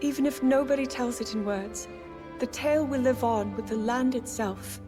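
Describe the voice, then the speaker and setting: A woman voicing a gentle, resolute young princess in a video game cutscene, solemn and measured. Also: on the high side, music in the background, natural voice. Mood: gentle consolation.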